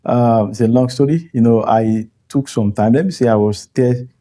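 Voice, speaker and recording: A Nigerian man whose first language is Yoruba, speaking as an interview guest in a thoughtful, halting way with frequent soft laughs. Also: medium pitch. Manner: thoughtful, halting, chuckles often. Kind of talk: interview conversation.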